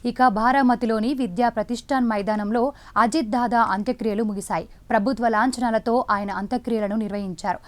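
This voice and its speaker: A woman's voice: fairly high pitch, fairly even intonation, fairly quick. A woman news presenter, delivering scripted news narration in a dramatic, emphatic way.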